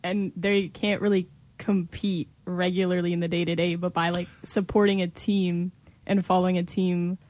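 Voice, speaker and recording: Low, even-toned young woman. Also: normal speed. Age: young adult. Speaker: radio show guest.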